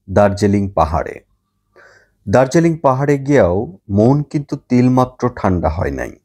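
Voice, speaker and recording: Guy reading an audiobook story, really slow and deliberate, voice on the low side. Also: warm tone. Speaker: audiobook narrator. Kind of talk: scripted literary story narration.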